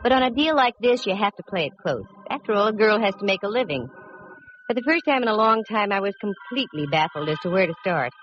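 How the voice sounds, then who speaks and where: An actress playing a sharp-witted, wisecracking female private detective in a vintage radio drama, wry and no-nonsense. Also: medium pitch, lively intonation, fast, soft background music, close to an everyday voice. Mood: wry narrating. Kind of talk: 1940s-50s radio detective drama acting and narration. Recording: muffled, noisy vintage radio recording.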